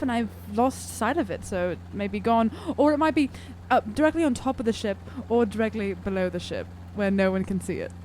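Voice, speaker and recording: A woman's voice: a little high, a little hiss or hum. A woman playing a tabletop role-playing game, bantering casually and playfully in and out of character.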